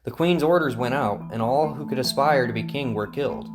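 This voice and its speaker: A man's voice: medium pitch, fairly quick. Guy narrating a bible audio drama, mid voice, scripted storytelling, music going behind him.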